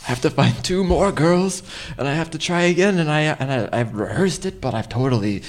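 A man in improvised radio theater playing a pushy, easily flustered young schemer who stammers and repeats himself excitedly. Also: on the high side, fairly quick. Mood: exasperated. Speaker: improv comedy performer.

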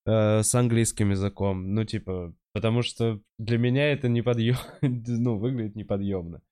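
Smooth-voiced male interviewer. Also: on the low side, fairly even intonation, moderate pace, mellow. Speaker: host. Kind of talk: casual interview chat.

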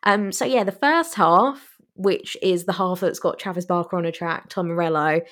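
A woman's voice: lowish voice, normal speed, fairly bright tone. Chatty woman reviewing music. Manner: chatty, enthusiastic, laughs often. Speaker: podcast co-host. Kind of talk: casual music review chat.

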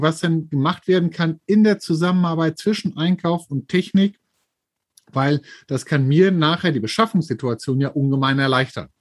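Talkative male co-host, slightly higher voice, husky, animated business chat. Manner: lively, talkative, uses sports analogies. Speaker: podcast co-host.

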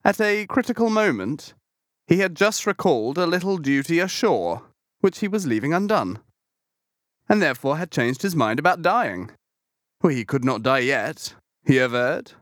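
A man's voice: fairly high pitch, very animated, normal speed, no room sound, studio quality. A man narrating a literary audiobook in a dramatic storytelling style.

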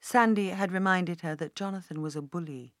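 Low-voiced woman narrating a novel. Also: brisk, no echo, studio quality. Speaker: audiobook narrator. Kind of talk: scripted fiction narration.

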